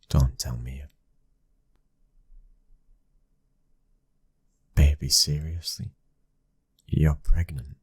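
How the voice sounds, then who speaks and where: A British man acting a protective, devoted young mafia husband in an intimate ASMR boyfriend roleplay, his breathy voice slipping into whispers. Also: warm tone, no room sound, heavily acted. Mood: stunned, joyful. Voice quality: very deep, breathy, husky.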